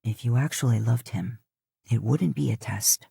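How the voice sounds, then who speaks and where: A woman's voice: rumbling low, normal speed, creaky, mellow, husky, no room sound, voice pushed down, exaggerated voice. A woman voicing a brooding young tribesman, a spurned suitor who pleads and accuses. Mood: teasing.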